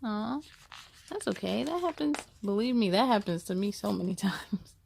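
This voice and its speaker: A woman hosting a children's storytime, reading aloud in a cheerful, encouraging and expressive way. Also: mid-range voice, normal speed, creaky, slight background noise. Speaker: host of a children's read-aloud show.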